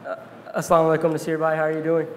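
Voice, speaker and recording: A young man giving an earnest talk on stage in a large hall, telling a story from his notes and pausing with fillers, then interviewing a guest. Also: fairly high pitch, monotone, slight background noise. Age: young adult. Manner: earnest, reflective, pauses with fillers. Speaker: speaker and interviewer. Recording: roomy hall reverb, faint ambience.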